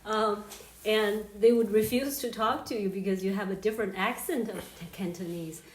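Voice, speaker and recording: Measured woman guest from Sichuan, echoey. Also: medium pitch, steady tone. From Sichuan, China. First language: Sichuan dialect. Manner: measured, with frequent "um" and "you know". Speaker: professor, guest. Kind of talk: podcast discussion. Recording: roomy echo with faint background noise.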